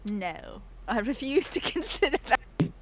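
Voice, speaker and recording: A woman with a fairly high voice chats in a put-on British accent. Her manner is gushing and theatrical, with quaint, formal turns of phrase, as in an informal livestream conversation. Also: very animated, unhurried, slight background noise, low-quality recording. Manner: effusive, theatrical, old-fashioned formal phrasing. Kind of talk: casual livestream chat.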